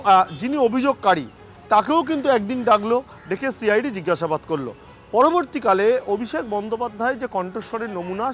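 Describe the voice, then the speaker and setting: A man reporting live for the news off the cuff, in an emphatic, repetitive way with hesitant phrasing. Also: sits high.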